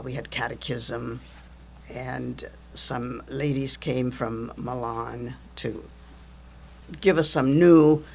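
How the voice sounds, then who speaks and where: Older woman, gravelly voice. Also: very deep voice, a little hiss or hum. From Italy. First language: Italian. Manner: lively storytelling, chuckles often. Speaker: guest, events coordinator. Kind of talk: casual interview chat.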